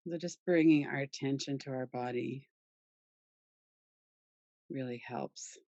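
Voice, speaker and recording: Female meditation guide, soft-edged dark tone, close dry sound, soothing delivery with pauses. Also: rumbling low, dry recording, highs cut off. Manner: soothing, gentle, unhurried with pauses. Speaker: yoga nidra meditation guide.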